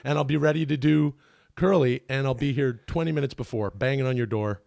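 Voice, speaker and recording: Podcast guest, male, rough low-today voice with some fry, loose rambling delivery. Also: brisk, darkish tone, dry recording. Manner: rambling, full of fillers and restarts. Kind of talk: casual conversation.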